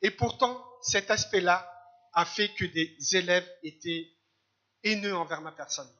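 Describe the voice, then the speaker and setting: A man from Algeria preaching a church sermon in short phrases, pausing for an interpreter. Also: high voice, bright, forceful tone, dull audio. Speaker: guest preacher. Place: church service.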